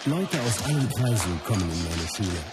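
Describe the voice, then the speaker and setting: A man's voice: lowish voice, animated, moderate pace, lightly acted. An actor playing a confident, blunt, philosophical martial arts master telling his story in a radio play. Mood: dismissive, instructive. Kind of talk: scripted first-person narration in a radio play. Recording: loud music under the voice. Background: music.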